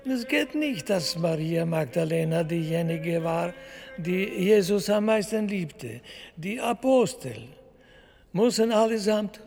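In a long, ringing reverb, a scheming cardinal holds forth in a high voice. He is pompous and ponderous, drawing out his words slowly, as in a satirical radio play. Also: lively intonation, vocal fry, darkish tone, exaggerated voice. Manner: ponderous, declamatory. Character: pompous scheming male cardinal chairing a church council. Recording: long church-like reverb, faint music.

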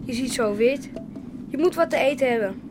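A woman's voice: sits high, moderate pace, music in the background, lightly acted. An actress playing a blunt, caring and sarcastic young village woman in a naturalistic radio drama. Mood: sarcastic, concerned. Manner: scornful, blunt.

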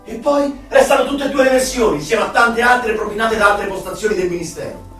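An actor playing an anxious, nostalgic rebel clerk in an Italian dystopian radio drama, earnest and swinging from hushed to heated. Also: male voice, high voice, fairly quick, far from the mic. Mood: troubled. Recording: echoey, off-mic radio play over music.

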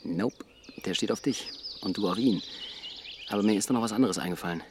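A man's voice: lowish voice, brisk, background noise, lightly acted. A man playing a cheeky, colloquial young backpacker in a German radio drama, with a bantering manner. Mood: teasing.

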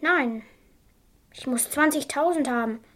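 A woman's voice: sits high, unhurried, a bit bright. A woman playing a cool, stubborn young girl in a German radio crime drama, speaking tersely and matter-of-factly. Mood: stubborn, demanding.

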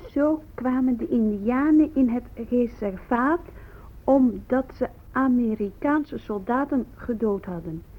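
A pupil reciting haltingly in a radio drama. The voice is high and very slow, on a narrow, muffled recording. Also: female voice. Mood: naive, halting.

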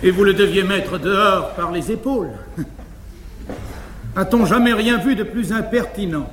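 An actor playing an excitable, fawning, cowardly manservant in a theatrical French comic stage style. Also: male voice, high-pitched. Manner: theatrical, exclaiming, obsequious. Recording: echoey 1950s live theatre recording, faint noise.

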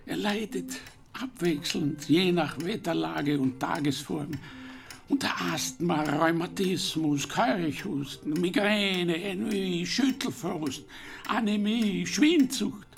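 A man's voice: high voice, takes it slowly, vocal fry, exaggerated voice. A man playing a dry, dismissive doctor in a German radio drama, clipped and disdainful in manner.

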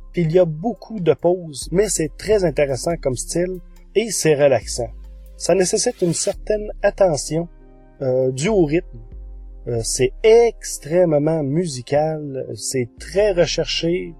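A man presents a podcast review monologue. His voice sits a little high and is mellow rather than bright. The sound is close and dry. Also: breathy edge, dry recording. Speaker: podcast host.

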